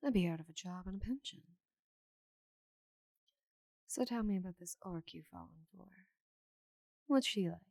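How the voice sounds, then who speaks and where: A woman voicing a giant female orc knight, teasing, confident and tenderly flustered, in a soft, intimate ASMR roleplay with breathy murmurs. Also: lowish voice, normal speed, pauses often, no room sound, rough recording.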